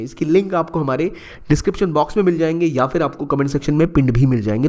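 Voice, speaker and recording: A young man from India talks students through a current-affairs issue in a relaxed, conversational way, slipping English phrases into his sentences. His voice sits a little higher than most men's. He often stops and restarts a word to correct himself. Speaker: exam-prep educator. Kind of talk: explanatory current-affairs talk.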